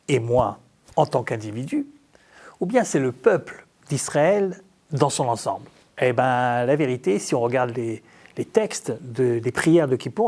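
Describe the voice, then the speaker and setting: Man, rabbi, gravelly creak, medium pitch, informal sermon, theatrical storyteller, rhetorical questions. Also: darkish tone. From France. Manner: conversational, humorous, theatrical storytelling. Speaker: rabbi teaching. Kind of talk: informal religious talk with rhetorical questions and acted-out dialogue.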